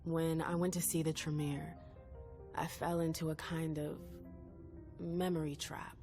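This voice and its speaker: Gravelly, stubborn young-blood vampire. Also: female voice, sits low, little pitch movement, a little slow, a little mellow. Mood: troubled, hesitant. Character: stubborn, wounded female vampire, probing her sire. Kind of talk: video game cutscene dialogue acting. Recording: game music underneath. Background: background music.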